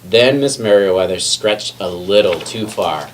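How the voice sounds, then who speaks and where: Low-voiced man reading a storybook, distant mic. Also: unhurried. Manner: expressive, with stumbles and self-corrections. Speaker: teacher reading a picture book aloud. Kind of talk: children's read-aloud storytelling. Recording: distant mic with faint background noise.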